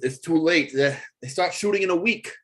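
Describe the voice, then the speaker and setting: A man's voice: fairly high pitch, a bit bright, close to an everyday voice. An actor playing a desperate, whining washed-up male singer, stammering his way through a script table read. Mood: defeated. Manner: halting, stammering.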